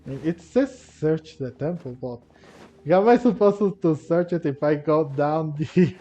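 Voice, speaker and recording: A man giving casual let's-play commentary, rambling and halting as he thinks aloud. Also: a little high, mellow. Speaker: gaming commentator. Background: video game music.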